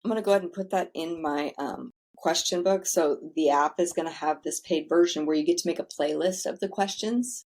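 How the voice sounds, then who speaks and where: A woman with a somewhat low voice, telling her story in a casual interview. She is candid and chatty, and drops the odd swear word without fuss. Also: brisk, hardly any pauses, a little mellow. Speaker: podcast guest, founder of a self-care center.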